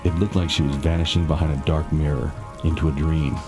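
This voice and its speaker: A man acting as a brooding narrator, telling a haunted childhood memory in dramatic, measured, scripted style. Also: very deep voice, steady tone. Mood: somber, haunted. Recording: loud music behind.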